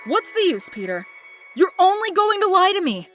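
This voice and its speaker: A woman's voice: extremely high pitch, big pitch swings, moderate pace, fairly bright tone, soft background music, heavily acted. A woman playing a young, worried friend of a superhero in a comic dub, with an emotional, dramatic delivery. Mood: hurt, resigned. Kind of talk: melodramatic comic dub acting.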